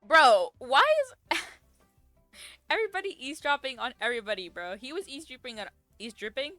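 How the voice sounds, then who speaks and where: A young woman giving casual, slangy, expressive reaction commentary as she plays a game. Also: sits high, normal speed, dry recording, soft background music. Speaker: game streamer.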